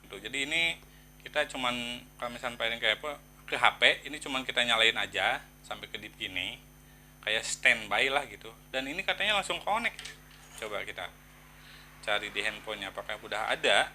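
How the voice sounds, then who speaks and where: A man chats casually to viewers in a product review, halting and thinking aloud in slangy, informal speech. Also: medium pitch, animated, pushed and bright, a little hiss or hum.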